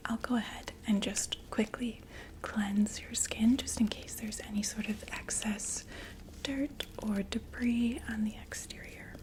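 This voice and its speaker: A woman playing a polite vampire makeup saleswoman in an ASMR roleplay, whispering softly with gentle, attentive, explanatory patter. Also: on the high side, natural voice, poor audio. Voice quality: soft breathy whisper.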